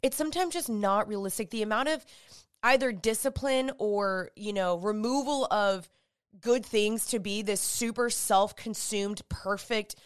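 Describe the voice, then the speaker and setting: A young woman hosts her podcast in a chatty, upbeat way, peppering her talk with "like". Her voice is smooth and clear even through a stuffy, congested nose, and it sits at a middle pitch. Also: a little slow. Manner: chatty, upbeat, with filler likes. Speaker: podcast host. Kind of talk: casual solo podcast talk.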